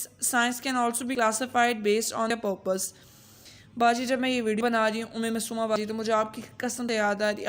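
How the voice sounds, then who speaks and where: High-pitched Pakistani woman tutor through voice changer. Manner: chatty, jokey, colloquial asides to students. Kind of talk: informal lesson mixing Urdu, Punjabi and English.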